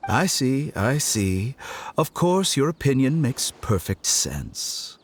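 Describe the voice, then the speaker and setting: Arrogant, theatrically condescending doctor. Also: male voice, medium pitch, very animated, slight background noise. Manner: theatrical, mock-polite, drawn-out emphasis. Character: arrogant, condescending male doctor, smooth and menacing scholar. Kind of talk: video game voice acting. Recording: music behind the voice.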